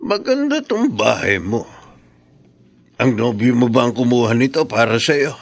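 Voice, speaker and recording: Gravelly, stern older Filipino man. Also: mid-range voice, big pitch swings, unhurried, exaggerated voice. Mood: suspicious, grave. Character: stern, suspicious Filipino father or older lover. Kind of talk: Filipino radio drama acting.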